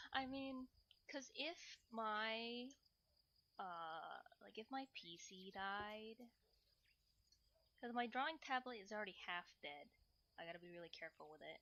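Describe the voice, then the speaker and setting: A woman's voice: medium pitch, pushed and bright, muffled recording. A woman livestreamer chatting casually, playfully rambling and thinking aloud.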